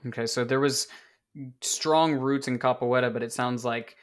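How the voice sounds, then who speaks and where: Relaxed male interviewer. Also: mid-range voice, moderate pace. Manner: relaxed, conversational questioning. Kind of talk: casual interview conversation.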